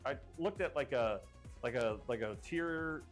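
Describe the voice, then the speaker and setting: A man hosting a live stream game show, rambling and jokey with many restarts, his voice getting hoarse. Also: medium pitch, unhurried, faint music behind. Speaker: host.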